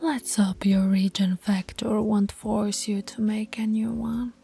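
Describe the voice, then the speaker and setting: A woman voicing a dominant female alpha werewolf in an intimate roleplay, commanding yet affectionate, with a breathy, husky voice and slow, deliberate delivery. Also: medium pitch, level tone, warm tone, soft background music. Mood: sultry, predatory. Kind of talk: intimate ASMR roleplay voice acting.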